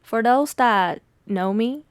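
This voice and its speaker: A woman's voice: a little high, slow pace, smooth, dry recording. A young adult woman whose first language is Mandarin, speaking as a podcast host in a reflective, confiding, conversational monologue.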